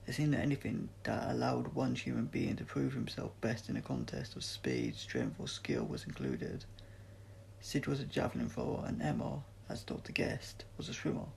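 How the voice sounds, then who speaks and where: A man's voice: mid-range voice, big pitch swings, moderate pace, vocal fry, a little hiss or hum, low-quality recording. A man reading a story aloud as an audiobook narrator, in an amateur style with occasional stumbles. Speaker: audiobook reader.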